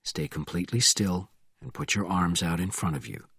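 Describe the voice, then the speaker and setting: A man voicing a calm, menacing male action hero, quiet, terse and controlled, in an audiobook thriller. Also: low voice, normal speed, creaky, husky, dry recording, studio quality, voice pushed down, full character voice. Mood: cold menace.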